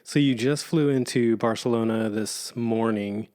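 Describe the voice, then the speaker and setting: A man's voice: medium pitch, unhurried, darkish tone. A man hosting a podcast, asking questions in an easygoing way with casual slang. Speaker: podcast host. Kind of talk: casual interview.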